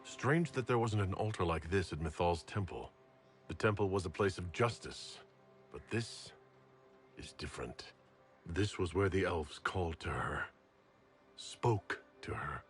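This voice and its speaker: An actor voicing a thoughtful, courteous fantasy game hero in a measured, earnest manner. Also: male voice, low voice, lively intonation, faint music behind. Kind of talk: dramatic video game acting.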